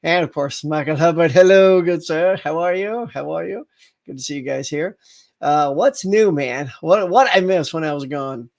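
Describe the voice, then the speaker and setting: A middle-aged man hosting a casual live morning chat, slightly congested and rambling with fillers as he talks to viewers. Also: a little high. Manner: rambling, chatty, lots of fillers and restarts.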